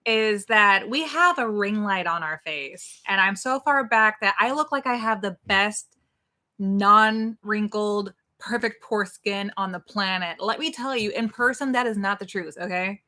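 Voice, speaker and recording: A woman's voice: mid-range voice, measured pace, bright, forceful tone. A woman in her forties co-hosting a casual live stream, chatty and reading out viewers' comments. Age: 40s. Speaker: co-host.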